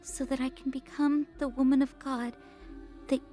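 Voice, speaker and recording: A woman's voice: sits high, flat delivery, normal speed, warm tone, faint music behind, close to an everyday voice. A woman playing a timid, obedient young girl in a visual novel, hesitant, meek and devout. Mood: solemn praying. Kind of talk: video game character acting.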